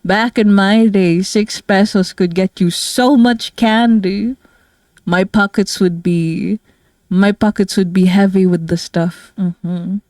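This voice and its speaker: A woman's voice: medium pitch, fairly even intonation, slow pace, darkish tone, no echo. A woman from the Philippines chatting casually on a livestream, rambling and giggling with lots of fillers. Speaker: streamer and artist.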